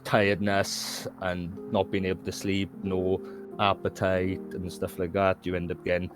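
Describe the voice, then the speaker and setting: Deep-voiced Welsh man, candid and rambling. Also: monotone, a little slow, over music. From Wales. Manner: candid, rambling, frequent "do you know what I mean". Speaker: guest. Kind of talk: casual conversation.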